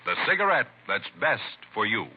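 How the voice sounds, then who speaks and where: A man's voice: fairly low pitch, sing-song, moderate pace, very noisy. A man reading a 1950s radio advertisement as an announcer, with emphatic sales delivery on a muffled vintage recording. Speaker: commercial announcer.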